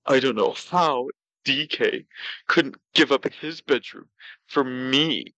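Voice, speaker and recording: A man voicing a pouty, self-justifying glutton who tells comic stories in a halting way. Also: medium pitch, sharp tone, no echo, dull audio. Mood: pouty, aggrieved. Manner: pouty, slow, halting delivery. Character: pouty, self-justifying, gluttonous male talk show storyteller. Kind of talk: comedic in-character storytelling.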